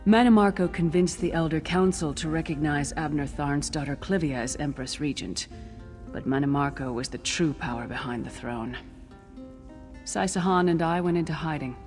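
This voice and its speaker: An actress playing a battle-worn, earnest female warrior companion in a fantasy video game, telling things gravely. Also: low-pitched, normal speed, a little mellow, soft background music, close to an everyday voice. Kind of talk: video game character dialogue.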